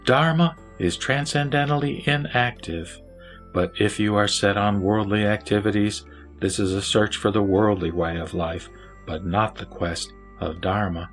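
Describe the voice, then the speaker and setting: Solemn wise sage, man reads him, lower voice, earnest instructive tone, faint music bed. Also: measured pace. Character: Vimalakirti, wise lay sage teaching.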